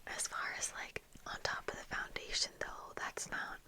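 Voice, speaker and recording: A young woman whispering a casual, rambling ASMR get-ready chat, breathy and unhurried, close to the microphone. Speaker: ASMR video creator. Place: small indoor room with bookshelves. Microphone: close fluffy-covered ASMR microphone, earphones worn.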